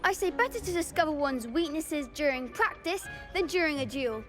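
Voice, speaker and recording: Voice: sits high, expressive, normal speed, a bit bright, soft background music. A performer playing an eager, encouraging young schoolboy who runs a duelling club in a video game. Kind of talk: scripted video game dialogue.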